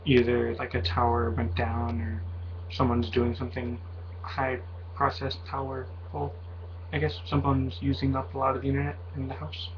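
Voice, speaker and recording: Young man, casual vlog ramble, mid-pitched voice, slightly muffled audio, faint hiss. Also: completely flat delivery, moderate pace. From Mexican heritage. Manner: rambling, hesitant, many fillers and self-corrections. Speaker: video blogger.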